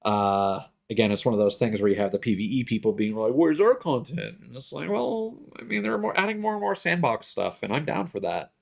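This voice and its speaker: A man with an average-pitched voice talks casually to a livestream. He explains things in an informal, rambling streamer style and swears freely. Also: big pitch swings, fairly quick, vocal fry, few pauses. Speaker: game streamer.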